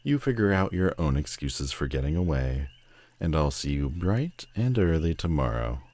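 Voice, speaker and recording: Man performing a telepathic villain, extremely low voice, proud, sardonic, drawn-out dramatic emphasis, audio roleplay. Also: sing-song, darkish tone, full character voice. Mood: teasing, confident.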